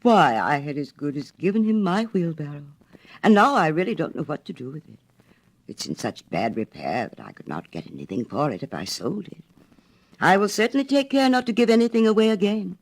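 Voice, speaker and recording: A woman's voice: on the low side, lively intonation, a little fast. A woman playing a smug, self-righteous male miller in a storybook reading, pompous and wheedling. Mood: self-pitying.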